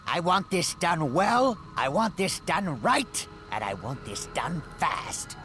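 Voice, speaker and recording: Cranky, swooping old gnome inventor. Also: male voice, on the high side, sing-song, slow, bright, forceful tone, heavily acted. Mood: bossy, demanding. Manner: barking, impatient, scornful. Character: cranky, arrogant old male gnome-like inventor, imperious and callous. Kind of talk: fantasy video game acting. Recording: clear music underneath.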